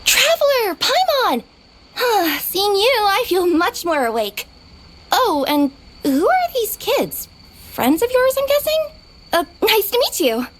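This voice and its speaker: A very high, highly animated young cat yokai girl for a video game. She is friendly and a little drowsy, speaking politely and hesitantly. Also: slow, a little hiss or hum, heavily acted. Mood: surprised, friendly.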